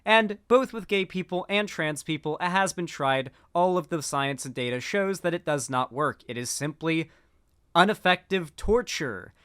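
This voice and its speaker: A man's voice: a little high, animated, normal speed, fairly bright tone, clear, resonant tone, no room sound, clean studio sound. A man giving casual commentary on clips, rambling with frequent fillers and restarts. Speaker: political commentator.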